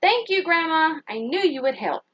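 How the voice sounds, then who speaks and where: A woman's voice: extremely high pitch, lively intonation, moderate pace, a bit bright, dull audio, a little hiss or hum, pitched above the performer's own voice. A woman voicing a cheerful, eager young schoolgirl in a children's storybook, earnest and polite.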